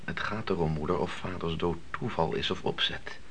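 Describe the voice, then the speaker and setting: A man's voice: sits low, moderate pace, fairly bright tone, slightly rough, exaggerated voice. A man playing an earnest, questioning grown son in a radio play, hesitant and argumentative as he cautiously challenges his devout mother. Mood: reasoning, insistent. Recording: noisy old radio play recording.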